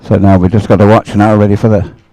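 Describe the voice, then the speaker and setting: A man giving live race commentary in a low, very mellow voice. He thinks aloud in a hesitant, slightly puzzled way as he follows the boats. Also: flat delivery, fast talker, dry recording, soft background music, low-quality recording. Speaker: sports commentator.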